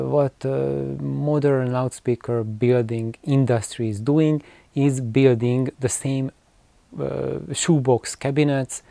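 A man in his forties explaining things to camera in an unscripted vlog, with halting phrasing and repeated words. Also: medium pitch, creaky, warm tone, no echo. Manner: halting, hesitant phrasing with repetitions. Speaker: video host. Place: small cluttered room with shelves of records, indoors.

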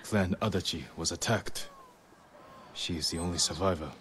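A man's voice: deep voice, normal speed, a bit bright, breathy edge, heavily acted. A man playing a solemn, honourable samurai lord in a video game, restrained and grave. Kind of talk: dramatic video game acting.

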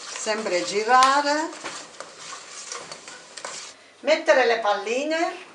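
Older Italian woman, slow instructions. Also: high voice, animated, background noise. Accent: Abruzzo Italian. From Italy. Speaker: home cook. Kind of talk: cooking demonstration. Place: home kitchen, indoors. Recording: echoey kitchen, distant mic.

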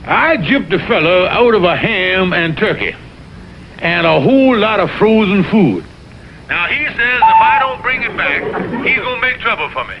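A man's voice: high voice, very animated, normal speed, gravelly, background noise, full character voice. A man playing a blustering, wheedling fox con artist with a Southern US accent in an old TV cartoon. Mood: worried scheming. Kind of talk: 1960s TV cartoon voice acting. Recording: dull vintage TV audio with music.

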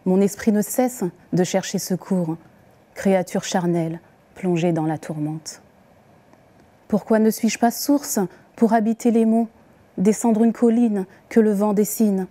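A woman reciting poems as the poet herself, with deliberate, expressive phrasing and pauses. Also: medium pitch. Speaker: poet reading her own poems. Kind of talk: poetry recitation.

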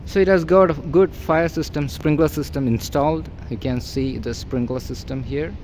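A man's voice: a little high, normal speed, a little mellow, slight background noise. A man working as a real estate agent, walking through an empty warehouse and pointing out its features in a plain, repetitive way, recorded on a handheld phone. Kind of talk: walkthrough property tour. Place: large empty indoor warehouse. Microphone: phone held by the filming person.

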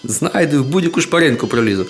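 A man's voice: fairly high pitch, expressive, quick pace. Man voicing hunched old woman Death, matter-of-fact. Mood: confident. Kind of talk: folk tale storytelling. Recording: guitar music behind the voice.

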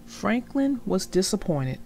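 A woman narrating a children's story in an expressive read-aloud style, speaking directly to young listeners. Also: mid-range voice, slow, creaky, faint music behind. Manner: addresses young listeners directly, explaining the lesson.

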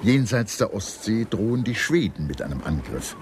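A man reading scripted historical documentary narration in a measured, serious storytelling manner. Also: on the low side, big pitch swings, a little mellow, a little hiss or hum.

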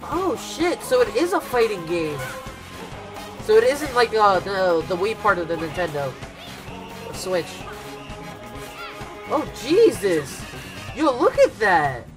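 A young man in a headset talks to his viewers from a dark bedroom, rambling loosely with plenty of fillers. He keeps jumping into loud, thrilled shouts, and faint trailer music plays behind him. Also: sits high, slow pace, pauses often. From Cuban heritage. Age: young adult. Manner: casual rambling with many fillers and restarts, loud excited outbursts. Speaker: YouTube reaction host. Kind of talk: excited live reaction chat. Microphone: headset, webcam at desk.

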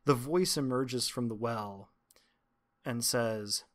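A man working as game master, narrating scenes in measured, literary phrasing with deliberate pauses. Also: medium pitch. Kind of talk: descriptive tabletop game narration.

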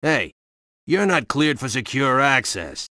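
A man playing a bossy, gruff security guard in a game, barking commands. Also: medium pitch, big pitch swings, slow pace, bright, forceful tone, dry recording, studio quality, full character voice. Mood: alarmed, stern.

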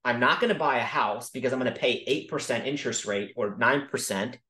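A man casually teaching about markets over a video call, talking quickly and emphatically and often checking in with "okay?". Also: mid-range voice, pushed and bright. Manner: fast, emphatic, frequent "okay?" check-ins. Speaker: crypto educator hosting a Zoom call. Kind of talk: casual screen-share teaching.

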